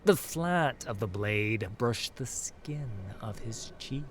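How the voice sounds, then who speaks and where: Slow, intense male fantasy narrator. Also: mid-range voice, lively intonation. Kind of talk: dramatic scripted fantasy narration. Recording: clear noise and ambience behind. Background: ambience and music.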